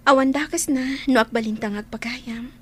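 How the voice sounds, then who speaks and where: A young Ilocano woman in a radio drama who holds back her hurt. The voice is fairly high and subdued, trailing into breath at the ends of lines. The manner is guarded and resigned. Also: fairly even intonation, moderate pace, slightly rough. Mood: quietly hurt. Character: Estela, hurt but restrained young woman, jilted rival.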